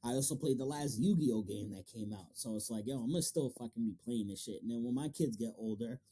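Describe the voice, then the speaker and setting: Mellow man swearing through casual podcast chat. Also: mid-range voice, fast talker, a little hiss or hum. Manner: emphatic, slangy, swears freely. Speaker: interview host.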